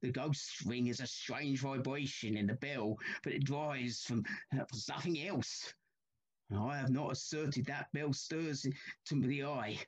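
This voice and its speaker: An actor playing an anxious, haunted older railway signalman in a Victorian ghost story, troubled and halting, with formal old-fashioned speech. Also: mid-range voice, breathy edge, low-quality recording. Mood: haunted, insistent.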